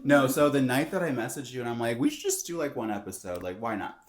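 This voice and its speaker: A soft-spoken man co-hosting a casual podcast. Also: mid-range voice, sing-song, fast talker. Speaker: podcast co-host. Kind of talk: casual chat. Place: home room, live-streaming.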